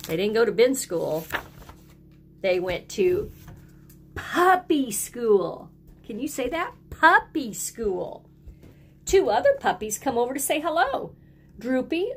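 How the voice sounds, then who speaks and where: A woman reading a children's picture book aloud like a teacher, speaking simply and prompting young listeners to join in. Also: fairly high pitch. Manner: simple, deliberate phrasing, prompting children to repeat words.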